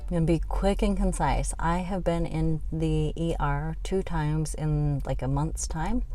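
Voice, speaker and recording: A woman's voice: low voice, steady tone, a little slow, dark tone, faint music behind. A middle-aged woman giving a frustrated, rambling update on her health, venting with many fillers. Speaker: health vlogger.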